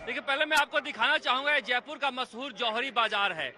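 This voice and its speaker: A man's voice: very high-pitched, brisk, pushed and bright, soft background music. A man working as a field reporter, giving a live news report from a busy market street. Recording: crowded outdoor street noise.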